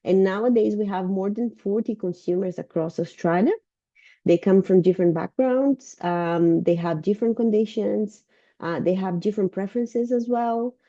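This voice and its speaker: A woman's voice: mid-range voice, normal speed, mellow, no echo. A woman with a Brazilian accent, sick and sounding a bit off, giving an informal presentation as a researcher over a video call. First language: Portuguese. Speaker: university researcher and lecturer presenting. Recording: dull, muffled video-call audio.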